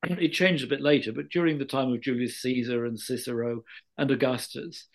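A man speaking as a guest expert in an interview, explaining history in a scholarly, measured way and often rephrasing himself with careful qualifications. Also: mid-range voice, fairly even intonation.

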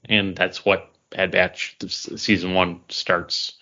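A man with a somewhat low voice talks casually as a podcast co-host. He is a keen fan who revises his phrases mid-thought. The sound is a little dull and lo-fi. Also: little pitch movement, slow, pushed and bright. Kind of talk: casual geek-culture chat.

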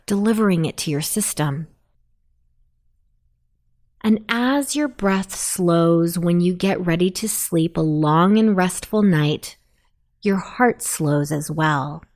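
Female sleep meditation narrator, slightly low pitch, very unhurried pacing, soothing and deliberate delivery. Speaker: meditation guide.

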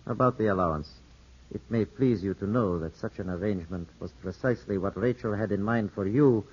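Husky, sly gentleman. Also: lowish voice, a little fast. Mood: courteous, insinuating. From Italy. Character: suave, insinuating Italian gentleman, polite and sly. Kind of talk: 1950s radio drama acting. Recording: dull 1950s radio broadcast.

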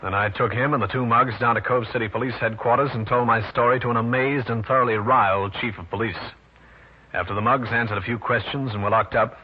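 A man's voice: medium pitch, fairly even intonation, a little fast, breathy edge, lightly acted. A man playing a tough, wry, unruffled private detective with an American accent, in an old-time radio drama. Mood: narrating. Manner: wry, unruffled, hard-boiled. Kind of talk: 1940s or 1950s radio detective acting. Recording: muffled old radio recording.

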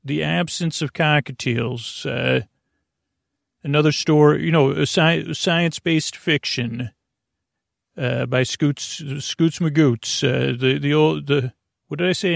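A man hosting a bedtime podcast in a creaky voice, rambling gently and soothingly, often stammering and wandering off into tangents. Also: fairly high pitch, unhurried, no echo, studio quality. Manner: meandering, hesitant, stammering with tangents. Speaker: host of a bedtime sleep podcast.

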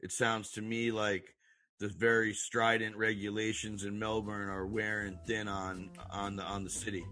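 A man's voice: fairly low pitch, flat delivery, normal speed, pushed and bright, faint music behind. A man hosting a podcast interview, asking long, friendly questions. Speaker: host.

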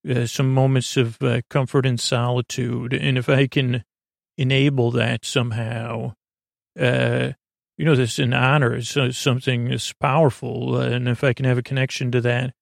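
Guy with a creaky gravelly voice rambling soothingly for a sleep podcast, stumbles and restarts, really dry close sound. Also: medium pitch, little pitch movement, dry recording. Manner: rambling, halting, self-interrupting; lulling, soothing. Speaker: podcast host. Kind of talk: meandering bedtime podcast monologue.

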